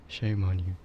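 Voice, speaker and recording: A man livestreaming casual ASMR chat in a hushed, rambling way that often slips toward a whisper. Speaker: livestream host. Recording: muffled low-fidelity mic with clear background noise.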